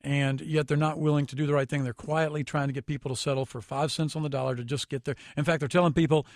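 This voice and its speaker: A man's voice: on the high side, steady tone, fast talker, a little mellow, dry recording, studio quality. An American man giving critical, explanatory commentary as a guest on a radio talk show.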